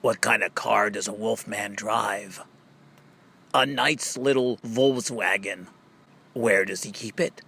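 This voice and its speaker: A man with a creaky, gravelly edge reads jokes and riddles for children. He takes his time, setting up each question and stretching out the punchline. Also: lowish voice, bright, forceful tone. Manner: question-and-answer delivery with drawn-out punchlines. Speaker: reader.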